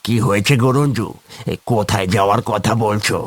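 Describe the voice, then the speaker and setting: Man playing deep-voiced genial tea seller. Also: a little fast, natural voice. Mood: genial, soothing. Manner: hospitable, placating. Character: genial, welcoming male village tea-stall keeper. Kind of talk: cartoon character acting.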